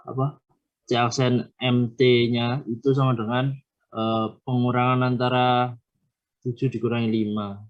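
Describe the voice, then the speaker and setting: A young man presents a worked problem to his class at an unhurried pace. He hesitates often, filling the gaps as he thinks. His voice arrives over a dull, muffled online call. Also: mid-range voice, a little mellow. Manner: halting, many filler hesitations. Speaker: student presenting a solution.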